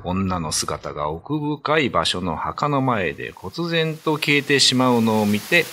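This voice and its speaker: A man's voice: fairly low pitch, big pitch swings, measured pace, bright, forceful tone. A man narrating a scripted ghost story as a dramatic storyteller. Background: background music.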